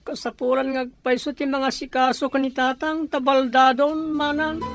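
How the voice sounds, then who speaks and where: A woman's voice: high voice, flat delivery, moderate pace, close to an everyday voice. A woman playing an anxious, dutiful younger sister in an Ilocano audio drama, earnest and plaintive, with an Ilocano accent. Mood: pleading.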